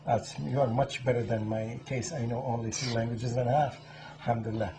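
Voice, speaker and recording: A man's voice: medium pitch, level tone, a little fast, noisy background. A man hosting a talk show interview, repeating answers back and praising effusively. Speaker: guest host and interviewer.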